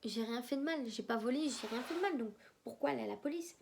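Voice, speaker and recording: A woman's voice: fairly high pitch, animated, quick pace, vocal fry, a little hiss or hum. Young woman vlogging. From German-speaking Belgium. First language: German. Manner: rambling, repeats herself, hesitant. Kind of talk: casual storytelling to camera.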